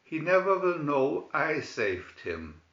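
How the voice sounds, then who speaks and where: Slow, wistful young mermaid read by a man. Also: on the high side, very animated, a bit bright, reverberant, muffled recording, heavily acted. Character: yearning, determined young mermaid girl.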